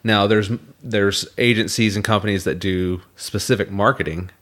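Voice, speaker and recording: A young man with a scratchy throat, co-hosting a casual podcast and explaining things in a measured way. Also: lowish voice, steady tone, fairly bright tone. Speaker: podcast co-host.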